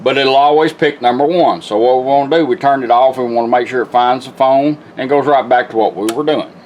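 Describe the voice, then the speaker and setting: A man's voice: medium pitch, fast talker, darkish tone, a little hiss or hum, low-quality recording. A middle-aged man giving a casual, hands-on product demonstration in a folksy, plain-spoken, instructional way. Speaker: vintage car radio technician demonstrating a product. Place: indoor workshop bench. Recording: music and radio playing behind. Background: music from the demonstrated radio.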